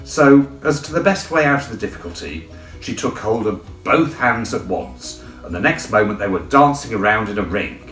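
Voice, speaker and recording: A man narrating a children's storybook in an expressive, theatrical way. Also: on the low side, moderate pace, reverberant, recorded from a distance, soft background music, low-quality recording. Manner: theatrical, animated. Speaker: audiobook reader.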